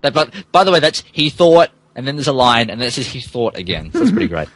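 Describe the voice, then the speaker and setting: A man's voice: medium pitch, sing-song, fast, a little hiss or hum. A man hosting and narrating, moving between casual chat and mock dramatic reading, amused and stumbling over garbled text. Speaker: podcast host and narrator.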